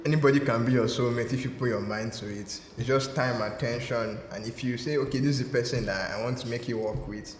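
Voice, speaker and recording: A man's voice: medium pitch, a little fast, few pauses. Playful Nigerian man in an echoey room. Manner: playful, teasing. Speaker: interviewee. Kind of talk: candid interview answers. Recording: roomy reverb, faint hiss.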